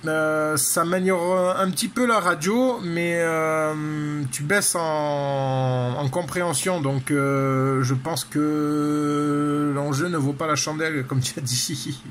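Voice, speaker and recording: Higher-voiced man chatting over background noise. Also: steady tone, slow, hardly any pauses, fairly bright tone. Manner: hesitant, rambling, repeats tag words. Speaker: amateur radio operator. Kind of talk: casual radio contact chat, technical.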